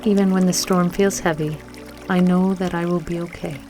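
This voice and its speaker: A woman leading a scripted guided meditation with affirmations in a gentle, soothing manner, leaving long pauses between phrases. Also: fairly low pitch, steady tone. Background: music and trickling water.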